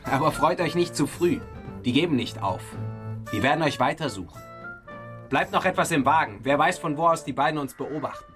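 A man's voice: fairly high pitch, lively intonation, a little fast, over loud music, lightly acted. An actor playing a bold, kind-hearted and slyly menacing male travelling player in a children's radio play. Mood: calm, reassuring.

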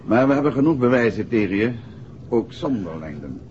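An actor playing a shrewd, composed male detective in a 1960s radio drama, speaking Netherlands Dutch in a measured, assured way. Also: medium pitch, very animated, a little mellow, natural voice. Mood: confronting, composed. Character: shrewd, composed male amateur detective explaining a solved case. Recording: muffled, noisy 1960s radio recording.

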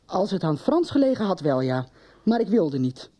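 An actress playing a bitter farmer's wife in a conversational radio soap scene. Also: female voice, on the low side, big pitch swings, moderate pace, mellow. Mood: bitter, sardonic. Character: bitter farmer's wife confiding about a ruinous deal.

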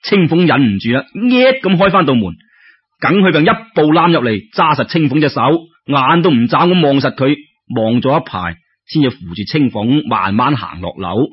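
Animated Cantonese male storyteller, husky voice. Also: mid-range voice, normal speed. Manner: colloquial, dramatic, full of exclamations. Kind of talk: animated traditional serial storytelling. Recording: dull lo-fi radio-like recording.

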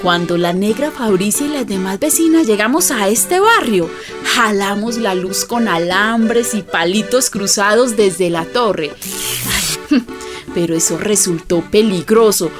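Actress as folksy neighbour woman, Colombian accent, slow storytelling, colloquial, clear music behind. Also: medium pitch, lightly acted. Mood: reminiscing. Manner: colloquial, unhurried. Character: folksy woman neighbour recounting barrio memories. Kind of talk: radio drama narration, oral storytelling.

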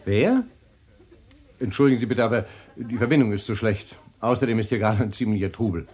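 A man's voice: on the low side, brisk, darkish tone, lightly acted. A man playing a rattled party host. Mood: irritated. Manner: flustered, indignant on the phone. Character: James Brent, rattled man hosting a party. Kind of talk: radio drama acting.

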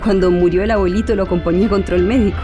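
A woman's voice: medium pitch, steady tone, rapid-fire, dark tone, faint music behind, natural voice. An actress playing an anxious, tender middle-aged mother with a Chilean accent in a radio drama. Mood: tender, nostalgic. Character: anxious, grieving Chilean mother lost in memories.

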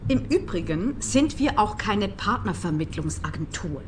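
A woman playing a composed, wary widow in a radio play, speaking in a measured, guarded way. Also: medium pitch, sing-song, noisy background. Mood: coolly knowing. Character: composed, wary widow leading a women's club.

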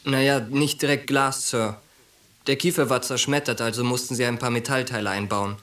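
An actor playing a wary young army sergeant in a radio drama, dry and guarded, a loyal friend. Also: male voice, medium pitch, fairly even intonation, normal speed, fairly bright tone, lightly acted. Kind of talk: German radio drama acting.

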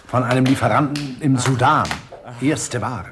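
Ein Schauspieler spielt in einem deutschen Hörspiel einen glatten, sadistischen Schurken, der spöttisch und genüsslich spricht. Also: male voice, mid-range voice, normal speed, noisy background, lightly acted. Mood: mocking. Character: suave sadistic villain, middle-aged man, mocking. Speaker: villain Sir Bogomil. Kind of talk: German radio drama acting.

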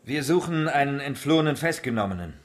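A man's voice: mid-range voice, lively intonation, quick pace, close to an everyday voice. An actor playing a clipped, businesslike policeman asking probing questions in a radio crime drama.

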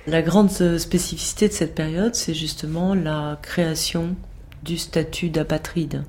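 Woman, very low pitch, mellow dark tone, precise explanation. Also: steady tone, measured pace.

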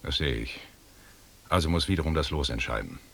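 An actor playing a stern expedition commander in a radio play, formal and commanding, with a husky voice. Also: male voice, extremely low pitch, animated, fairly quick, heavily acted. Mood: grim, formal. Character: stern British expedition commander in colonial Africa. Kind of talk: 1980s German radio play acting.

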